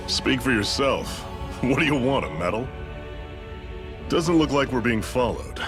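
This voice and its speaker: A world-weary rebel mentor in a game cutscene, played by a man, gruff and knowing. He speaks bluntly and wryly at a medium pitch, over faint music. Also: expressive, a little slow, natural voice. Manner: wry, blunt. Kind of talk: video game cutscene acting.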